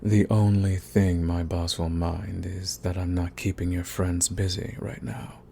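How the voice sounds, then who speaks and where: A man's voice: low voice, flat delivery, unhurried, gravelly, mellow, slight background noise. A man playing a cocky, flirty villain wooing an enemy in a teasing, intimate romance audio roleplay. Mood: coaxing, smitten.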